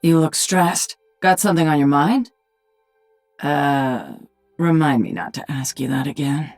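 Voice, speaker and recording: A woman voicing a sardonic, commanding alien-hybrid warrior queen in a video game, with a husky voice. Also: low-pitched, lively intonation, measured pace, darkish tone, faint music behind. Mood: dry, wry. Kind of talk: video game character voice lines.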